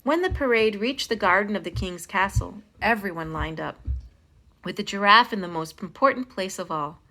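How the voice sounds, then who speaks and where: A woman reading a picture book aloud as a storytime host, in an expressive, dramatic style with stressed phrases. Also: mid-range voice, moderate pace, low-quality recording. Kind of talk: expressive children's read-aloud.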